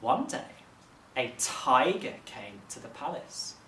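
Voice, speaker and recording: A man narrating a children's story expressively, like a teacher reading aloud, with measured dramatic phrasing. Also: mid-range voice, sing-song, a bit bright. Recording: roomy, distant mic, faint noise.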